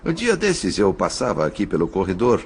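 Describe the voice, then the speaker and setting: A Brazilian man playing a composed spirit narrator in an audio novel, speaking in a formal, articulate, measured way. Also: deep voice, huge pitch swings, vocal fry, a little hiss or hum, exaggerated voice. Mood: polite, pleading. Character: composed male spirit narrator recounting his undercover mission. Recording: music behind the voice. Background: music.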